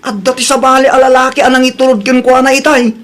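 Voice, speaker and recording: A woman's voice: high-pitched, little pitch movement, fast talker, a bit bright. A woman with a Filipino Ilocano accent playing a frank young friend bearing news in a comedy drama. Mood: earnest.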